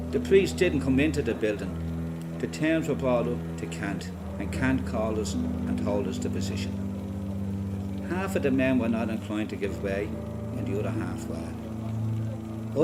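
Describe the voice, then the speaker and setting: An actor playing an Irish freedom fighter veteran, giving matter-of-fact first-person testimony in an Irish accent for a radio play. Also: male voice, medium pitch, natural voice. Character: plain-spoken Irish volunteer veteran recounting his fighting days.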